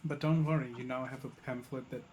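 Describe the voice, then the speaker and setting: A man's voice: medium pitch, steady tone, fast, crowd noise. A man chatting casually during gameplay with a dry, teasing manner.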